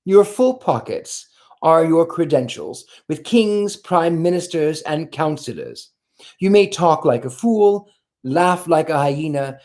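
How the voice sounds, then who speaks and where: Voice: mid-range voice, a little mellow. A reader voicing a worldly, cynical aristocratic prince in a novel read aloud, speaking with composed scorn. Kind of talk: audiobook character voice.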